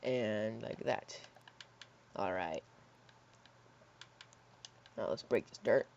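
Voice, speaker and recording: A hoarse young man in his teens rambling casually while playing a building game, with lots of fillers and soft mutters. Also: lowish voice, drawn-out words, a little hiss or hum. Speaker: gaming YouTuber. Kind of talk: casual gameplay commentary. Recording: slightly dull lo-fi mic.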